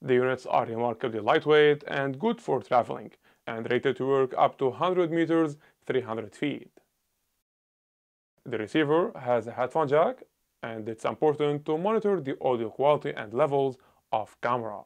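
A man in his thirties giving a scripted gear review on a small indoor set, talking loudly and emphatically into a lapel mic. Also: medium pitch, moderate pace. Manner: loud, emphatic delivery.

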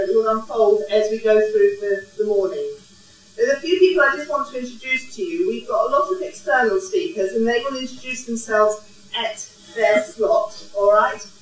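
A middle-aged woman, a college coordinator, welcomes students at a lectern and introduces staff, checking in with the audience as she goes. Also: a little high. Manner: warm, orderly, checks in with the audience. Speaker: college quality coordinator opening a conference. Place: large indoor hall. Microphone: podium microphone. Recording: echoey hall from a distance.

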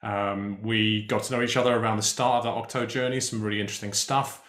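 A man hosting a live interview show over a video call, talking in a relaxed way with many ums and pauses. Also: on the low side. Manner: relaxed, hesitant with many fillers. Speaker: host. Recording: roomy echo on a video call.

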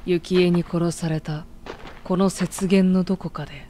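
A woman performing the solemn scripted narration of a dark winter fairy tale in a game. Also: on the low side, steady tone, a little fast, slight background noise, natural voice. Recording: loud game music behind.

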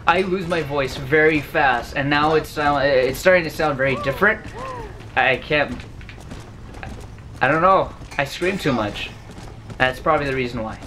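A man in his twenties doing loud, profane let's-play commentary in his bedroom, his voice going hoarse. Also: on the high side, normal speed, long pauses. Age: 20s. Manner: loud, profane, yelling at the game. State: losing his voice. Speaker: gamer. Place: bedroom, indoors.

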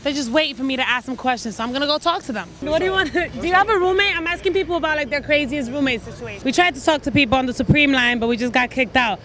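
A woman's voice: high-pitched, fast talker, few pauses. A young woman hosting street interviews, outdoors with a handheld mic, blunt and joking, swearing freely. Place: outdoors on city sidewalks.

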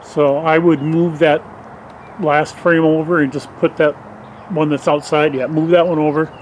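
A man's voice: fairly high pitch, level tone, moderate pace, low-quality recording. Man directing beehive work outdoors. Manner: plain, matter-of-fact directions. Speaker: beekeeper directing a helper. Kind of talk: casual instructions while working. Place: backyard beeyard outdoors. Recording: faint outdoor background noise.

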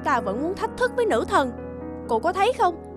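Cartoon casting: haughty young village woman, high voice, defiant and boastful, faint music underneath. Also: sing-song, normal speed. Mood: scornful, boastful. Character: arrogant, boastful young peasant woman embroiderer. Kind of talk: animated fairy tale dubbing.

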